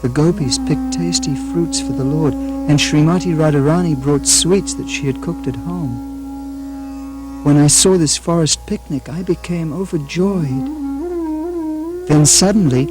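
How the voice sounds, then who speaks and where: An actor playing an ecstatic saintly lord in a puppet show, speaking in a dreamy, wistful, measured way over music. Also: male voice, a little high. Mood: bewildered, longing. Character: ecstatic saintly lord waking from a mystical vision.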